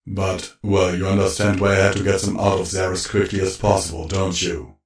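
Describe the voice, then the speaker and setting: A man voicing a worried male dragon speaking through a robot in a flat, plaintive way. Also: sits low, brisk, lightly acted. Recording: echoey, roomy sound.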